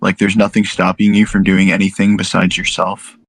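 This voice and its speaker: A man's voice: low-pitched, completely flat delivery, a little fast, no room sound. A man chatting casually as a co-host, with slangy bro-talk. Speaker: co-host reading questions. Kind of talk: casual chat.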